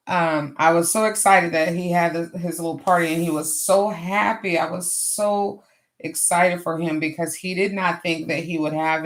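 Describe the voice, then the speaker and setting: A middle-aged woman with a hoarse voice, hosting a casual crafting livestream and chatting to viewers as she works. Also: low-pitched. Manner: chatty, greets viewers warmly and often. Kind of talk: casual live craft chat.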